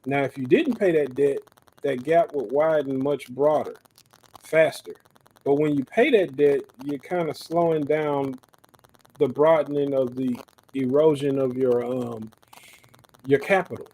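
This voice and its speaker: Man with a dark, husky voice. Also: mid-range voice, unhurried, rough recording. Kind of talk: casual chat.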